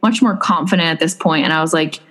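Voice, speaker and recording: Rambling young woman, lower voice. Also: fast talker, a bit bright. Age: young adult. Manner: rambling, many fillers and restarts. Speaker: blogger recounting personal experience. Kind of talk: casual chat.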